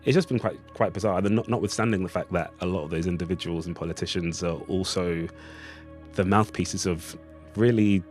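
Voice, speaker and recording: Male artist interviewee, low register, reflective and halting, choosing words carefully over prominent music. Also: darkish tone. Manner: hesitant, thoughtful, hedging. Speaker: playwright and artist, interview guest.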